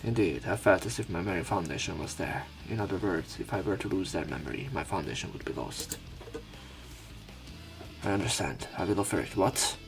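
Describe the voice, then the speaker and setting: A man's voice: low-pitched, fairly quick, vocal fry. A man narrating a visual novel let's play in a subdued, tired way, mixing read-aloud text with casual commentary. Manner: subdued, tired-sounding delivery. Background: game music.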